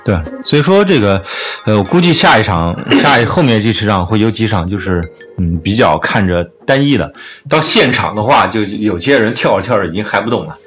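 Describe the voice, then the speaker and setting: Fast-talking man with lively, animated intonation. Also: lowish voice, faint music behind. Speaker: music podcast host. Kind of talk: casual opinionated music discussion.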